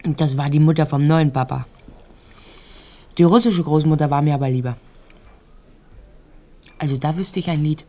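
A woman's voice: sits low, normal speed, long pauses, natural voice. An actress in a radio drama playing a talkative, naive and frank young Ukrainian-born woman who tells her life in a rambling, conversational way. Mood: matter-of-fact, chatty. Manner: rambling storytelling, occasional hushed phrases.

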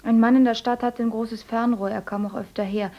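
An actress playing a clever young woman in a radio play who asks soft, polite but probing questions. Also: on the high side, fairly even intonation, a little fast, natural voice. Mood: quiet, curious. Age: young adult. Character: clever, shy young woman, quietly skeptical. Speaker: miller's adopted daughter.

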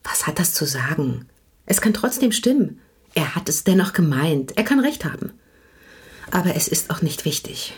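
A tired, candid wife in a radio drama. Her voice is slightly low and very breathy. She speaks plainly, in short measured phrases. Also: sing-song, full character voice. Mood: measured, serious. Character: weary, frank wife of a struggling writer. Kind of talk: radio play acting.

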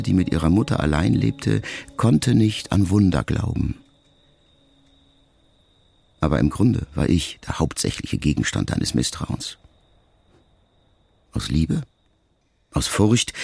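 A man acting a brooding son who addresses his dying mother in a reflective, measured radio-drama monologue. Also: sits low, creaky, a little mellow, breathy edge, no room sound, full character voice. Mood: reflective, searching. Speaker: radio play actor. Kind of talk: intimate first-person literary monologue in a radio drama.

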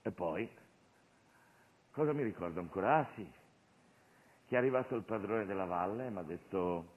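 A man playing a sorrowful peasant turned drinker, confessing haltingly in a theatrical monologue. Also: sits low, pitched below the performer's own voice. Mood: weary, anguished. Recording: phone-like radio broadcast of stage show.

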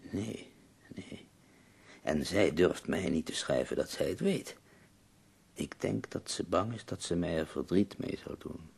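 An actor playing an ailing, melancholy but wry poet in a radio play, his voice gravelly, husky and weary. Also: low voice, expressive, measured pace, darkish tone, full character voice. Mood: weary, dejected.

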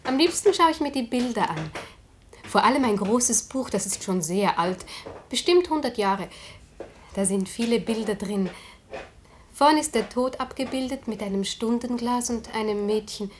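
A woman's voice: a little high, animated, moderate pace, a little hiss or hum, close to an everyday voice. An actress playing a shy, dreamy young girl in a German radio drama, speaking earnestly and simply with childlike wistfulness.

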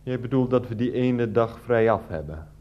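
A man's voice: medium pitch, moderate pace, dull audio, natural voice. A man acting a whiny, self-justifying 39-year-old ex-teacher husband in a radio play, speaking hesitantly with padded phrases. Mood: opinionated, insistent.

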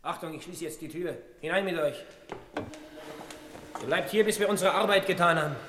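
An actor playing a rough robber henchman in a radio play, barking threats and orders. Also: on the high side, brisk, lightly acted. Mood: rough, threatening. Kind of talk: German radio play acting. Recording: roomy, noisy, clicking radio recording.

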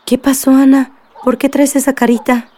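Woman playing worried mom in radio soap, mellow lightly high voice, earnest. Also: little pitch movement, moderate pace, a little hiss or hum, close to an everyday voice. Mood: concerned. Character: concerned, advising middle-aged mother. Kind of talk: radio drama acting.

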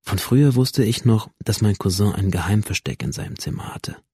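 A withdrawn, bitter young man in a radio drama, telling his story in a low, mellow voice. He is understated and matter-of-fact, with a slightly husky edge and a close, dry sound. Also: animated, a little fast, no echo. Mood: brooding, detached. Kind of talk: radio drama, introspective first-person narration.

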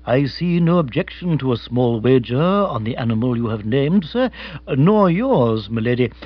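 A man's voice: medium pitch, sing-song, moderate pace, no echo, highs cut off, voice pushed down. A man voicing an unflappable, formal English manservant in a storybook, very polite, precise and wordy. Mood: measured, deferential. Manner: stately, circumlocutory, very polite.

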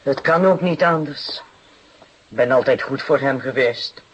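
A weary mother of a big working-class family speaks in a very rough, breathy voice. The delivery is plaintive and halting, with an aggrieved, emotional edge. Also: medium pitch, a bit bright, rough recording. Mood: bitter, aggrieved. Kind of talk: Dutch radio drama acting.

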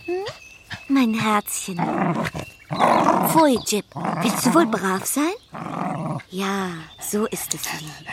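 An artless, pampered young lady in a radio drama. She coos babyishly and takes her words very slowly, over noticeable background noise. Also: medium pitch, sing-song. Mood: cooing, affectionate. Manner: cooing, babyish pet talk. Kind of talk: German radio drama acting.

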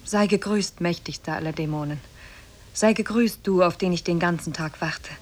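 A woman's voice: on the low side, normal speed, close to an everyday voice. A woman playing a beautiful, tender and cunning captive wife in a 1950s German radio play. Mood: feigned sweetness. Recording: old radio tape, faint noise.

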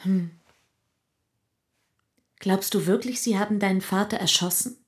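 An actress playing a curious confidante in a radio play, asking intimate, probing questions. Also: female voice, medium pitch, a little slow, close to an everyday voice. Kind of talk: naturalistic German radio play acting.

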